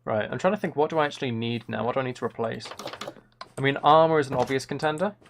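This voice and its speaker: A man streams a video game in a mid-pitched voice with plenty of vocal fry. He chats casually, muttering his thoughts aloud and answering viewers. There is faint noise behind him, with clicking keys. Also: fast. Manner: muttering to himself between replies to chat. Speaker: live streamer. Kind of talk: casual chat while playing a video game on a livestream.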